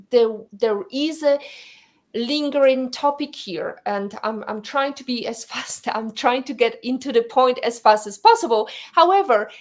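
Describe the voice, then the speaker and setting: Slow, searching woman hosting a live stream. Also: fairly high pitch. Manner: searching for words, many fillers. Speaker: host.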